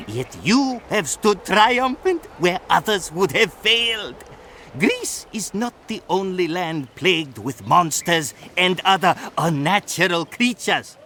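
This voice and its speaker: An actor playing a dignified, troubled ancient Egyptian sage in a fantasy game, formal and theatrical. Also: male voice, high voice, pushed and bright, a little hiss or hum, exaggerated voice. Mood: respectful. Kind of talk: scripted fantasy game dialogue.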